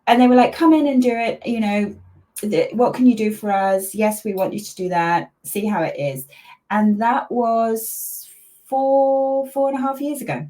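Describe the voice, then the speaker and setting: A woman's voice: on the high side, moderate pace, warm tone. An older woman and grandmother from Malaysia, a parenting coach talking chattily with many fillers as an interview guest. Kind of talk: casual interview over a video call.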